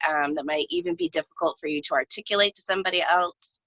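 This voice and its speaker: Female interview guest, low but bright voice, thoughtful and halting, casual reflective delivery, dry close sound. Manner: hesitant, thoughtful, searching for words. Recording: slightly dull, echoey room audio.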